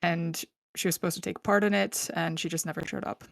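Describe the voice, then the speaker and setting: Gravelly low-voiced chatty woman podcaster. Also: steady tone, fairly quick, dry recording, studio quality. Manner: chatty, laughing, filler-heavy. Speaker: podcast host. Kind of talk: casual true crime storytelling.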